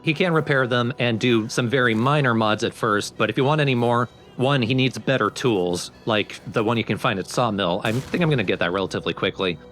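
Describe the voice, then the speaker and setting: A man's voice: mid-range voice, brisk, few pauses, fairly bright tone, faint music behind. A man giving casual, explanatory gameplay commentary in an informal way. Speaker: let's play host and player.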